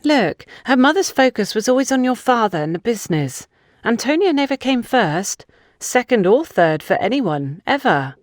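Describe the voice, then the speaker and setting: A woman's voice: on the high side, sing-song, moderate pace, no room sound, clean studio sound, voice pushed up. A woman voicing a defensive, suspicious young woman under questioning in an audio drama, with an accusatory, indignant manner. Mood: reluctant, candid. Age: young adult.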